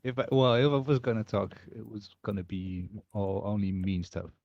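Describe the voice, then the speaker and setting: Lower-voiced guy, dry teasing jabs, dull muffled sound, no room echo. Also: normal speed, vocal fry.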